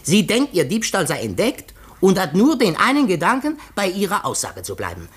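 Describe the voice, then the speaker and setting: Radio mystery role: shrewd, courteous detective, self-assured and theatrical, with strongly swooping, deliberate phrasing. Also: male voice, high-pitched, wildly swooping pitch, a bit bright, heavily acted. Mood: shrewd, insinuating. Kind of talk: 1950s German radio drama.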